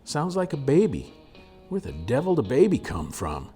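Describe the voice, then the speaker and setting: Man performing frontier husband, gruff, exasperated, folksy rural phrasing, storybook character. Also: medium pitch, wildly swooping pitch, normal speed, warm tone, soft background music, pitched above the performer's own voice. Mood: baffled.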